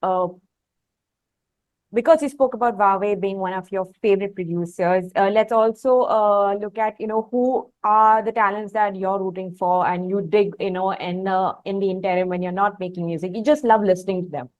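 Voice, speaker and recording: Indian-accented woman interviewer, medium pitch. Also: steady tone, moderate pace. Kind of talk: conversational interview questioning.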